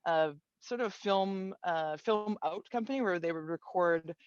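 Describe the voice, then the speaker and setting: A woman colorist on an online panel, telling her career story in a relaxed, thoughtful way with many fillers and restarts. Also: on the low side, measured pace, no room sound, dull audio. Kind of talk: casual panel talk.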